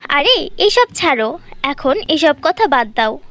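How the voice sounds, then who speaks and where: High-pitched, earnest young girl acted by a woman. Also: sing-song, slow, fairly bright tone, heavily acted. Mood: delighted. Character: naive poor young girl in a fairy tale.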